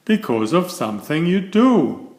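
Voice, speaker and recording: Man as a high, friendly young bear. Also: big pitch swings, a little slow, a little mellow, reverberant. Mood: frank. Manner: frank, calling out. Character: young male bear, friendly, frank, encouraging.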